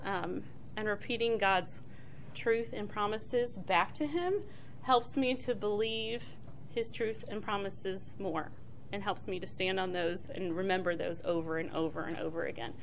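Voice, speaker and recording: A middle-aged woman, soft-spoken and chatty, leading a casual church talk on prayer with many fillers and restarts. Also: mid-range voice, measured pace, gravelly, slight background noise.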